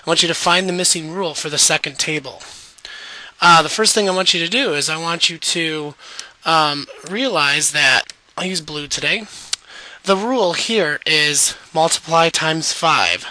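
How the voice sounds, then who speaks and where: A man walks young students through a math lesson, patient and step by step. His voice is worn and fading, yet it sits high and sounds bright. The recording is dry, with no room sound. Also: moderate pace, no room sound, poor audio. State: losing his voice. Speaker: math teacher.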